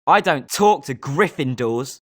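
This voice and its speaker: A man's voice: medium pitch, expressive, unhurried. A male actor playing a smug, rivalrous schoolboy at a wizard school in scripted video game lines. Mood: scornful.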